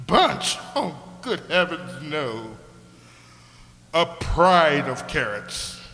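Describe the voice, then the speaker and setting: An actor playing a haughty, chatty talking griffin in a comic radio play, with a pompous, airy, fussy manner. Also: male voice, medium pitch, fairly bright tone. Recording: echoey hall with long reverb.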